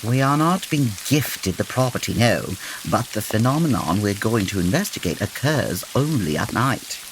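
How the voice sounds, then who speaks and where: A man playing a logical, formal gentleman detective with theatrical, proper diction in a comedic audio drama. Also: lowish voice, big pitch swings, moderate pace, a little hiss or hum, rough recording. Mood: intrigued. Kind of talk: comedic old-time radio drama acting.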